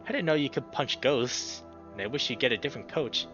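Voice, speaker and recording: A man's voice: medium pitch, brisk, close to an everyday voice. A man voicing a caring, wry male coyote, a ghostly boyfriend in a visual novel. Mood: wry, worried. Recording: dull lo-fi sound, music behind.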